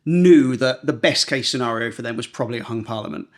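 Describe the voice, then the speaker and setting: Guy on a politics podcast, normal pitch, breaking stuff down informally. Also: fast talker. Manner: informal, explains step by step with plain examples. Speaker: podcast host, political journalist. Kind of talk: explanatory political podcast chat.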